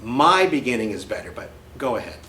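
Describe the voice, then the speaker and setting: A man's voice: mid-range voice, sing-song, brisk, bright, forceful tone, a little hiss or hum. A man reading a picture book aloud in character as a boy, with brash, slangy, emphatic delivery. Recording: roomy echo with faint music.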